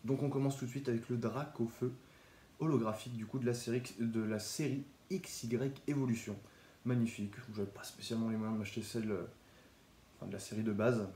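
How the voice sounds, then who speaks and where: A young man with a cold talks in a relaxed, chatty way, showing his graded trading cards in a casual vlog. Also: mid-range voice, brisk, roomy sound, a little hiss or hum. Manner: relaxed, chatty, with small restarts. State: has a cold, stuffy. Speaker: Pokémon card collector YouTuber. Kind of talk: casual card showcase vlog.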